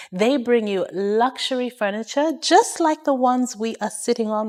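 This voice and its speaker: High-voiced woman hosting interviews. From Uganda. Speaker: interview show host.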